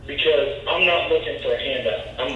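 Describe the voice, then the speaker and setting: A man's voice: a little high, normal speed, bright, forceful tone, slight background noise. A man calling in to a talk show, talking in an earnest, reflective way. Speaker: caller. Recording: dull, echoey, distant clip.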